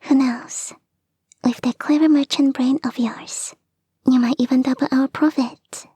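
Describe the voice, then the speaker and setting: A woman voicing a clingy young kitsune fox girl in an intimate ASMR roleplay, soft and breathy, often whispering, coaxing and sweetly insistent, close to the microphone. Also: high-pitched, steady tone, measured pace, no echo, heavily acted. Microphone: close, dry microphone.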